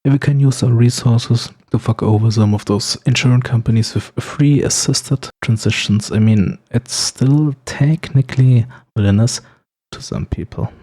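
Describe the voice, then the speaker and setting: Guy playing a snarky supervillain for asmr roleplay, raspy n mellow male voice, flirty teasing banter, kinda sarcastic. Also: mid-range voice. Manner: sarcastic, playful, bantering, with chuckles. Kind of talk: ASMR roleplay monologue to the listener.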